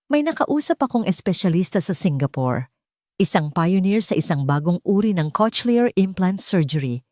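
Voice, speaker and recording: A woman's voice: fairly low pitch, normal speed, no echo, clean studio sound. A woman with a Filipino accent voicing an eager, loyal male friend in an audio story. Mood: excited. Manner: energetic, emphatic.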